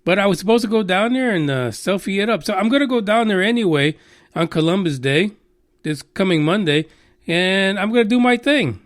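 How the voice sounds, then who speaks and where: A man's voice: high voice, expressive, fairly quick, no echo, clean studio sound. A man hosting a podcast, ranting casually with lots of swearing and rambling asides. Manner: exasperated, profane, rambling. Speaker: podcast host. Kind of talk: casual solo podcast rant.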